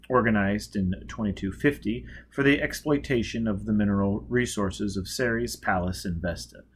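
A man's voice: fairly low pitch, a bit bright, husky. A man in his thirties reading a novel aloud as narrator. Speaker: reader, narrator. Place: indoors, before a dark curtain.

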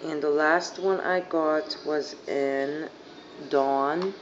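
Hoarse woman chatting through a haul. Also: sits low, fairly even intonation, drawn-out words. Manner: rambling, lots of fillers. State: hoarse, losing her voice. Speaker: beauty vlogger. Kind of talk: casual haul chat. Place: living room couch by a sunny window. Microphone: phone camera at arm's length. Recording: dull lo-fi phone audio, faint hiss.

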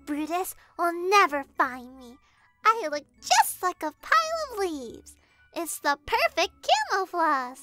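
Casting: tiny cartoon girl kitten, very high, bright, childlike, naive and eager. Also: slow, no room sound, faint music behind, full character voice. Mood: playful, excited. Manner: childlike, earnest, excitable, wide-eyed. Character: naive, eager, cheerful little female kitten. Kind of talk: animated comic dub acting.

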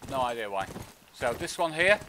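A man's voice: mid-range voice, very animated, moderate pace, bright, forceful tone, slight background noise. A man streaming a video game, giving casual, wry commentary as if half to himself, with game audio behind him. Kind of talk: casual live gameplay commentary. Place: indoors at a streaming setup.

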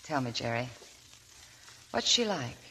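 An actress playing a weary, guarded young woman from Maine in a 1940s radio play, speaking softly and wistfully. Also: extremely low pitch, big pitch swings, unhurried, a little hiss or hum, heavily acted, poor audio. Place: radio studio.

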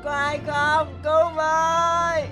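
A tiny helpless mosquito from a fairy-tale cartoon. It wails in a high voice, dragging words out slowly. Music plays clearly underneath. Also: female voice, level tone. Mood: desperate, pleading. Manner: drawn-out wailing cries. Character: small, helpless mosquito trapped in a web. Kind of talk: animated fairy-tale dubbing.

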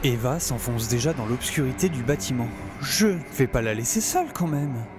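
A man's voice: medium pitch, animated, moderate pace, noisy background, close to an everyday voice. A man playing a wry, self-mocking survivor who narrates his misadventures in an audio serial with sardonic humour.

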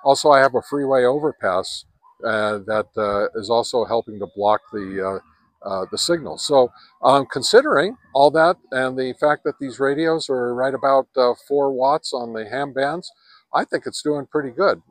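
An older man in his sixties walks through a radio test in a loose, rambling way, filling gaps with plenty of ums and uhs. His voice sits at a middle pitch and is picked up close on a handheld camera with a dry, unreverberant sound. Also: normal speed, dry recording. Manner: rambling with many fillers. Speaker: ham radio reviewer. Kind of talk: casual hands-on radio review. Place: home radio shack, then outdoors in a park, then in a truck. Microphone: handheld camera at arm's length.

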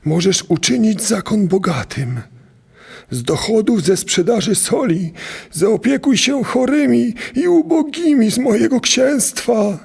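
A male actor as a medieval duke on his deathbed in an audio drama. The voice is high, gravelly and raspy. He speaks slowly and gravely, with strain in every halting phrase. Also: animated, heavily acted. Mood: solemn, urgent. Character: dying medieval duke, solemn, giving his last will.

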